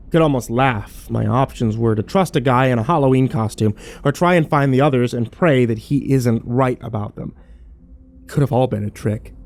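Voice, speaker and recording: A man's voice: mid-range voice, gravelly, a little mellow, a little hiss or hum, lightly acted. A man playing a troubled, wary survivor who narrates his ordeal in a brooding first-person horror drama.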